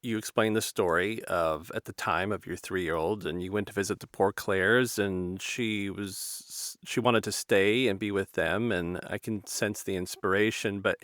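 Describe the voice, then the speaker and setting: An American man hosts a casual interview in a somewhat low voice. He speaks tentatively, often rewording his thoughts midway, and the sound is dry with little room echo. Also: fairly even intonation, a little slow, hardly any pauses, fairly bright tone. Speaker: interview host. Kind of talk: conversational interviewing.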